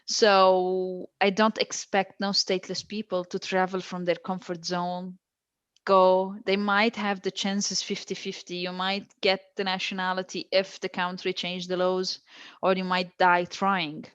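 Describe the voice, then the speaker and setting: A woman's voice: on the low side, completely flat delivery, a little slow, fairly bright tone, clean tone, dry recording, highs cut off. A woman, a guest who answers with emphatic, repeated phrases. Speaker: guest, stateless-rights advocate. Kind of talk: earnest interview answers.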